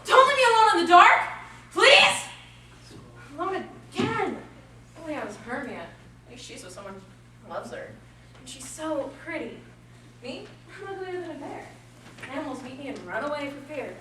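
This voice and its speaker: A woman's voice: high voice, very animated, normal speed. A woman playing an insecure, lovelorn young woman in a stage play, emotional and pleading, recorded from far off in an echoey hall. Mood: desperate, then self-pitying. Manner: emotional, pleading, self-pitying. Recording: echoey hall, distant mic, noisy.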